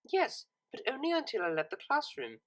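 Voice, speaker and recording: A woman's voice: fairly high pitch, wildly swooping pitch, moderate pace, voice pushed up. A woman voicing a refined young cartoon mare who speaks formally and with cool disapproval. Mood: cool, indignant. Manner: formal, articulate, lecturing.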